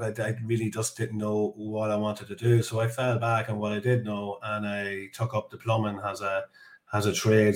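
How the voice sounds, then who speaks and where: An Irish man in his forties, a podcast guest telling his story in a chatty, rambling way with many fillers and restarts. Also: on the low side. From Ireland. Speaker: guest, construction business director. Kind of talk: casual podcast interview. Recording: echoey room.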